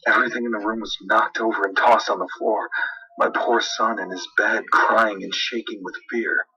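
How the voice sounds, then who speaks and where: Very raspy, forceful low-voiced male horror narrator. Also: steady tone, normal speed, lo-fi sound. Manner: dramatic, suspenseful delivery. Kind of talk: scripted first-person horror narration.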